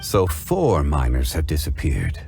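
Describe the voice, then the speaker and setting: A dignified gentleman investigator in a video game, with a very low voice. He reasons in a composed, formal and measured manner while music plays behind him. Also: wildly swooping pitch, creaky, heavily acted. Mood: calm, inquiring. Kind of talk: video game cutscene acting.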